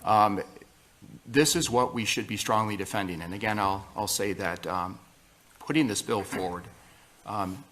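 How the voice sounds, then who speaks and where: A man advocate making public remarks in a halting, emphatic way. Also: fairly low pitch, a bit bright, a little hiss or hum. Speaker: anti-abortion activist.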